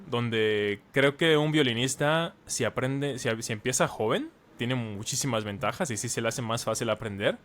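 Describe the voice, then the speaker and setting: Brief: man in his thirties, podcast guest, mid-pitched voice, earnest and explanatory, conversational. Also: a bit bright. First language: Spanish. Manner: earnest, explains at length with many examples. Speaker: guest, language teacher and author.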